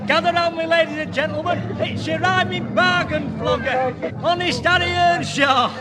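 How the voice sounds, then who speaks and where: An actor playing a showy, mocking market trader who declaims in rhyme in a 1970s radio comedy. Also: male voice, very high voice, fairly even intonation, moderate pace, loud music behind the voice, a loud crowd. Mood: booming sales patter. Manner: declaims in sing-song rhyme. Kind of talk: 1970s radio sitcom acting.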